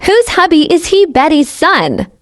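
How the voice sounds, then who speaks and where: A woman with a high, very lively voice. She reacts with playful commentary, interjecting in a teasing way. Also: unhurried.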